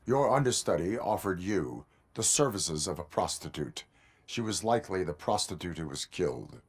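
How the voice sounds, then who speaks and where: A man playing a stoic cyborg policeman in a video game, speaking in a formal, clipped, deadpan way. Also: low-pitched, normal speed, slight background noise. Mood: stern. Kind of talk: video game cutscene acting.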